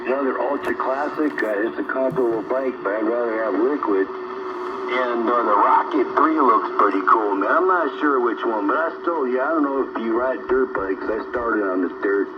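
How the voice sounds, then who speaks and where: A man's voice: medium pitch, normal speed. A man in his sixties rambling casually and slangily in a chat over CB radio. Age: 60s. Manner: slangy, repeatedly says "man". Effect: CB radio transmission. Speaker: CB radio operator. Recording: noisy narrowband CB radio reception.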